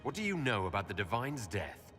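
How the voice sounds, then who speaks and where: Assured fantasy hero, very expressive intonation. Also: male voice, fairly low pitch, brisk. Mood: firm. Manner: direct, assured, questioning. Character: firm, composed male hero leading the Inquisition. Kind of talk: dramatic fantasy game acting. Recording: long reverb, faint music behind.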